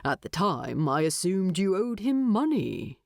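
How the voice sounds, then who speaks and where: Woman voicing a creaky, gruff, formal dwarf man. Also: on the low side, sing-song, a little slow, darkish tone, dry recording, clean studio sound, full character voice. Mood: dry, at ease. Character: gruff, awkward, courteous dwarf man in exile.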